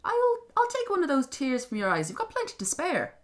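A woman's voice: high voice, huge pitch swings, fairly quick, voice pushed up. A woman voicing a sly little man-like creature with an Irish accent, wheedling and patronising as in a fairy tale. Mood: sly, breezy. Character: small sly man-shaped creature, gleeful bargainer.

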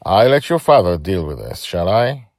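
A man acts out a composed, courteous gentleman from a storybook. His deep voice lifts with lively emphasis, and his phrasing is polite and formal. It is recorded very dry. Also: moderate pace, no room sound.

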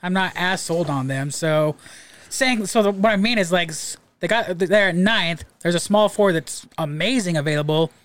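A man with a slightly high voice explains his reasoning at length, earnest and a little halting. The sound is close and dry, with no room around him. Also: a bit bright, no room sound. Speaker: podcast guest. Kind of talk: casual sports talk.

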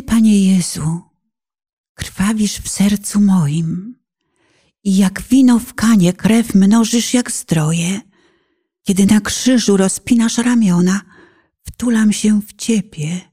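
A woman narrator giving a solemn, fervent poetic religious recitation, with dramatic phrasing and a breathy voice that sometimes hushes. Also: mid-range voice, slow pace, long pauses. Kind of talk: poetic religious recitation, radio-play meditation.

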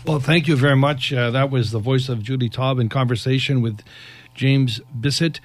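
A man hosting a radio talk show, brisk and friendly, moving quickly between guests. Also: medium pitch, fairly even intonation.

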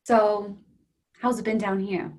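Casting: woman to play polite, guarded female nurse for a play reading, placating delivery, medium pitch, slightly noisy recording. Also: flat delivery, slow, close to an everyday voice.

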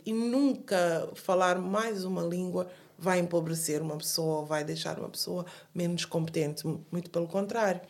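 A woman's voice: lowish voice, moderate pace, hardly any pauses, a little mellow. Earnest woman in her forties, restarting often. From Portugal. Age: 40s. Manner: earnest, with frequent restarts and repeated words. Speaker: guest. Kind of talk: interview conversation.

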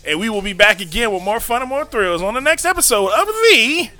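A young man hosting a casual comedy podcast, joking loudly and laughing often. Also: very high voice, very animated. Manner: loud, laughing, fast joking delivery. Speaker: podcast host.

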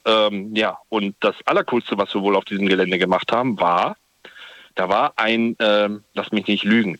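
A man's voice: on the low side, a bit bright, no echo, poor audio. Chatty man calling in on a phone. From Ruhr area, Germany. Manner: chatty, rambling, self-correcting. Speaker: radio caller. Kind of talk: phone-in storytelling. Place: parked car.

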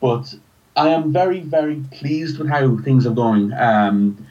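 A man's voice: mid-range voice, fairly even intonation, unhurried, a little mellow. A man chatting as a podcast guest, hesitant and thoughtful as he answers. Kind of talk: casual interview chat. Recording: distant, dull lo-fi sound.

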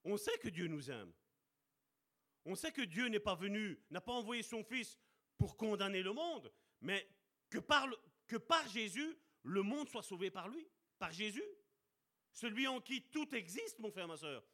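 A man preaching a sermon with passion, slowing down to stress key words and calling out to his brothers and sisters. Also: high-pitched, big pitch swings, a bit bright. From Italian heritage. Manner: impassioned, addresses congregation as brother and sister.

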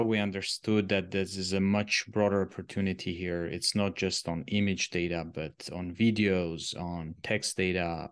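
Deep-voiced man. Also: clean tone, no echo. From Armenia. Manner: measured, explanatory, searching for words. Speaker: guest, company co-founder. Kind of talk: podcast interview answers.

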